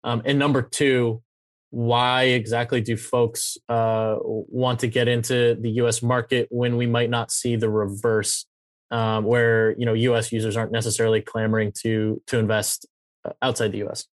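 A man's voice: mid-range voice, level tone, normal speed, no echo. A man hosting a conversational business interview, rephrasing his questions as he goes. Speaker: show host, interviewer.

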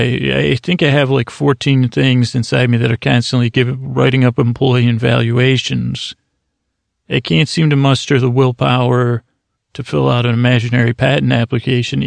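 A man's voice: medium pitch, level tone, normal speed, vocal fry, no echo. A man hosting a sleep podcast, talking in a meandering, self-deprecating monologue full of restarts and asides. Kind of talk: rambling monologue for falling asleep.

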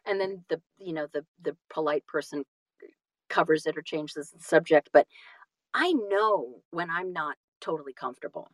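Animated, halting woman in casual conversation. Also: deep voice, dry recording. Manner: animated, self-deprecating, halting with repeated phrases. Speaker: audiobook narrator and guest.

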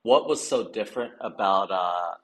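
A man's voice: low-pitched, moderate pace. A man hosting a podcast, asking curious, loosely worded interview questions. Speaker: podcast host.

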